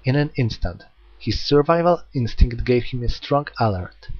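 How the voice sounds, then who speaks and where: A man's voice: mid-range voice, expressive, moderate pace, dull audio. A man reading a light novel aloud as an amateur audiobook narrator.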